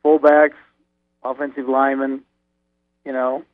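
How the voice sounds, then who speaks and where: A man calling in as a radio guest, talking about college football in a halting, low-key way with frequent restarts. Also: level tone, no echo. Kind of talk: casual radio interview over the phone. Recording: tinny phone line.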